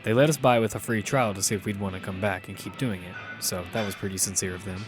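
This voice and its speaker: A man's voice: on the low side, steady tone, quick pace, close to an everyday voice. Man acting as casual young guy over music. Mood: narrating. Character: casual young male gamer, confident teasing friend. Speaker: narrator and lead character. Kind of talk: first-person audio fiction narration and dialogue.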